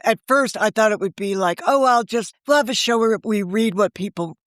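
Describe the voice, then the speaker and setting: A woman in her sixties, a novelist guest on a remote interview, talking in a chatty, animated way and often jumping in over the host. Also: mid-range voice, normal speed, dry recording, clean studio sound. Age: 60s. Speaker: novelist and podcast creator, guest. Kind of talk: casual interview chat.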